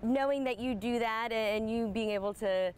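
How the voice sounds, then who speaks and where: A young woman reporter asks questions in an upbeat, lively voice. She is recorded on a lapel mic in an open stadium, with a faint outdoor hum behind her. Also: on the high side, moderate pace. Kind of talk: sports feature interview. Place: outdoors in a large empty stadium.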